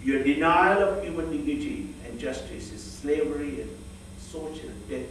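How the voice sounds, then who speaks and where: A man from northern Sri Lanka giving an earnest sermon, measured and full of rhetorical questions. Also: a little high, a little hiss or hum. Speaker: speaker at a church fellowship. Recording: echoey hall, voice from far off.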